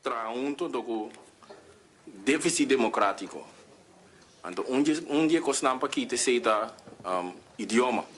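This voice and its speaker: A parliament member speaking in a debate, halting and repeating himself as he asks his question. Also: medium pitch, a bit bright, a little hiss or hum.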